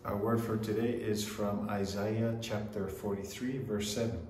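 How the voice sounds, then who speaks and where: Low-voiced pastor in an echoey room. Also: male voice, level tone, a little slow, a little mellow. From Samoa. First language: Samoan. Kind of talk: bilingual online church service address and sermon. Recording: roomy echo with faint background noise.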